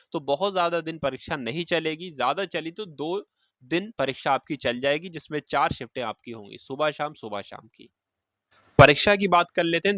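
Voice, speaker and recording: Higher-pitched man, emphatic explainer. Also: fairly bright tone, no room sound. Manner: emphatic, repeats key phrases. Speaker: exam-preparation video host. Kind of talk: informal exam news explainer addressed to students.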